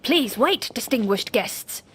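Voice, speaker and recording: A woman's voice: fairly high pitch, lively intonation, takes it slowly, slight background noise, pitched above the performer's own voice. A woman voicing a deferential male palace guard in a game story, formal and polite. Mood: polite, urgent.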